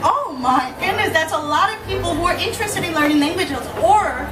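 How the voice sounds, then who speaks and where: A woman's voice: very high-pitched, expressive, a little fast, over loud music, over a noisy crowd. A woman from South Korea, a native Korean speaker with a Korean accent, giving an informal live talk to an audience with rhetorical tag questions. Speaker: public speaker, language teacher. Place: indoor venue in front of an audience. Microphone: camera at a distance. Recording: echoey room, distant camera mic, noisy.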